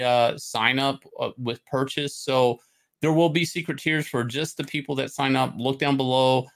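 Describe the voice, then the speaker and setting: A man hosting a livestream, giving a casual, opinionated rant in an emphatic, halting way with some swearing. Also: mid-range voice, fairly bright tone. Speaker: stream host. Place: indoor room, livestream on webcam.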